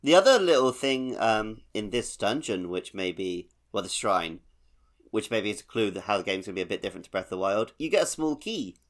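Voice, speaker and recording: A man's voice: lowish voice, very animated, a little fast. A man co-hosting a podcast, talking casually about video games in an easygoing, conversational way. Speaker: podcast co-host. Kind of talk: casual video game discussion.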